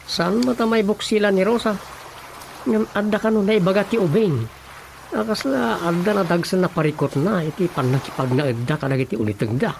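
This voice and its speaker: A man's voice: high voice, brisk, gravelly, a little hiss or hum. A man playing a concerned villager in a Filipino radio drama, speaking in an inquiring, conversational way. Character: concerned male villager consulting the chairman.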